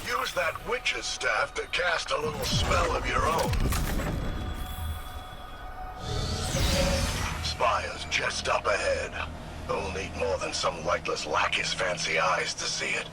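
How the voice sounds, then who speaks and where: Smug video game villain sidekick, a floating alien ghost: taunting, highly animated delivery, fairly low register. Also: male voice, unhurried. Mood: mocking. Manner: sarcastic, taunting. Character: smug, sneering male alien ghost, henchman of a witch queen. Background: loud game music.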